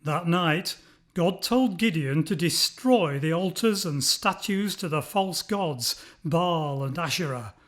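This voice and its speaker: A male storyteller narrates for children. His voice sits high for a man. He reads expressively from a script at a slow, careful pace. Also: animated, fairly bright tone.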